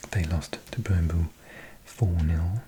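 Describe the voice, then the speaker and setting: A Welsh man in his forties, whispering softly as an ASMR host, slowly reading out a list of results with chatty asides. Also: low voice, slight background noise. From Wales.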